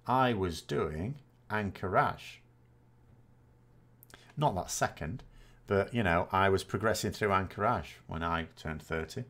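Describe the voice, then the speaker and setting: A man's voice: deep voice, measured pace, long pauses, fairly bright tone. A man, chatting casually and rambling as a gaming host answering viewer questions, often correcting himself with dry self-mockery. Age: 40s.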